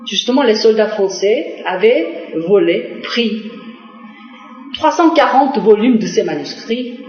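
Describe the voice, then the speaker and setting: A woman's voice: mid-range voice, animated, takes it slowly. Woman diplomat, echoey. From Korea. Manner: hesitant, many fillers and restarts. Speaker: Korean diplomat. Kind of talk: TV interview answers. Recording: echoey, dull lo-fi TV broadcast.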